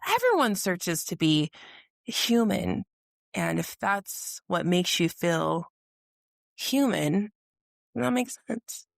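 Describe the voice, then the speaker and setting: Blunt teasing woman, gravelly voice, cusses casually. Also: medium pitch, very animated, slow, long pauses, no room sound, studio quality. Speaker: podcast co-host. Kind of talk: casual chat.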